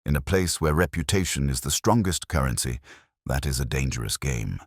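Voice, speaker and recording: Man playing police inspector in a mystery story: very low-voiced, calm, watchful, formal. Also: dry recording, studio quality. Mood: calm, probing. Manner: deliberate, formal, understated. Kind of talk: dialogue in a narrated mystery story.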